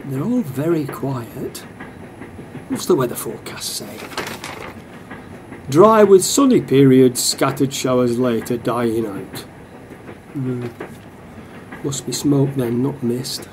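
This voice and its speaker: A man's voice: expressive, takes it slowly, long pauses. A man playing a wry, grumbling football excursion passenger in a radio play, musing to himself. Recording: train rumble behind the voice.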